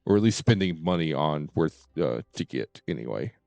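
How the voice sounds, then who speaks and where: A man with a low, smooth voice gives casual game commentary on a stream. He speaks haltingly, often doubling back on his words. The sound is close and dry, with music playing behind him. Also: expressive, darkish tone, no echo. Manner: halting, repeats and stutters on words.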